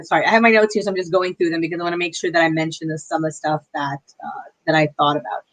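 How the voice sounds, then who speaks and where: A young woman giving casual, opinionated commentary on a livestream, breaking off to answer her chat with quick apologies. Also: lowish voice, a bit bright, poor audio. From Pakistani heritage. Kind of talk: casual live-stream commentary reading chat.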